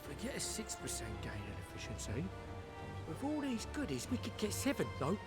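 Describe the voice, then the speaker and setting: A man voicing an excitable engineer in a game, exuberant and exclaiming. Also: high-pitched, brisk. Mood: giddy excitement. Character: excitable, greedy-for-results tinkering engineer. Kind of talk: animated fantasy game dialogue. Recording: music under the voice.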